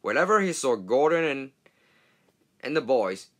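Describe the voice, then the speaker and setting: A man narrating a children's storybook read-along in a halting, stumbling way. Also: medium pitch, big pitch swings, fairly bright tone.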